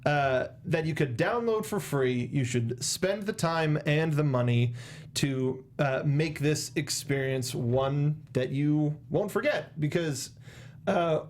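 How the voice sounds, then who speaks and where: Higher-pitched young man hosting. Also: slow. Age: young adult. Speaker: show host. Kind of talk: movie discussion chat. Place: small indoor podcast studio. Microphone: close studio microphone with foam cover, headset monitoring.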